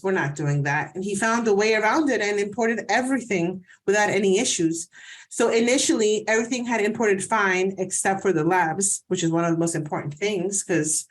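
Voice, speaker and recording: A woman physician from New Jersey, whose first language is Spanish, chatting in an interview and telling anecdotes with frequent restarts. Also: mid-range voice. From New Jersey, USA. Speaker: physician, guest. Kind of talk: casual interview conversation.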